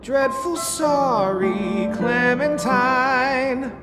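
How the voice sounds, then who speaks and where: A man's voice: very high-pitched, extremely slow, long reverb tail, music in the background, full character voice. An actor playing an easygoing, joking teenage boy in a video game, playful, theatrical and teasing.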